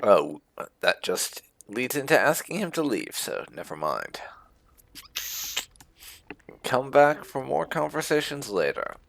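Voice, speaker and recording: A man playing a roleplay game and talking in character, casual and musing, with hums and drawn-out words. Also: medium pitch, very animated, vocal fry, fairly bright tone, slight background noise. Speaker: gamer roleplaying as his character. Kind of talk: casual let's play commentary, speaking in character.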